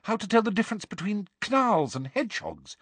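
Earnest schoolgirl, male audiobook reader: high pitch, anxious, articulate, pleading, determined. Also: big pitch swings, measured pace, a bit bright, no echo, full character voice. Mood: urgent pleading.

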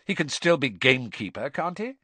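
Haughty teenage girl, cold and clipped, much higher voice, husky, voiced by a man. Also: big pitch swings, brisk, pushed and bright, no room sound.

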